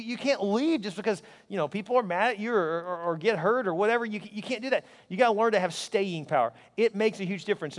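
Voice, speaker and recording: A pastor in his forties preaching a sermon to a large congregation, energetic and conversational, with quips thrown at the crowd. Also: high voice. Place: large church auditorium. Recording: long hall reverb.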